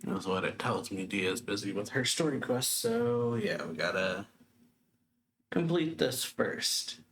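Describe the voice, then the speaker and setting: A man casually commenting as he plays a video game, relaxed and chatty with slangy asides. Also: on the low side, takes it slowly, slight background noise. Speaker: gameplay streamer. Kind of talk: casual let's-play commentary. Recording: game music behind.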